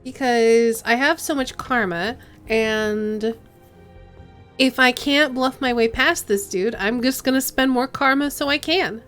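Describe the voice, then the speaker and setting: A woman's voice: on the high side, measured pace, fairly bright tone, faint music behind. A woman streaming a game, chatting casually with viewers and reading the game's text aloud.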